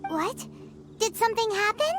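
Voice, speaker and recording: A woman voicing a tiny, excitable, childlike female fairy companion in a video game, squeaky, talkative and curious, referring to herself in the third person. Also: normal speed. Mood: surprised. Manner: speaks of self in third person, exclamatory. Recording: music under the voice.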